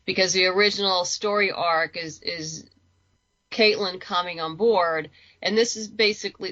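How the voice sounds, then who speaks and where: Bright-voiced woman on lo-fi audio. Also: on the low side. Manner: chatty, informal, thinks aloud. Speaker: interview guest, writer and creator. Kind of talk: casual conversational interview.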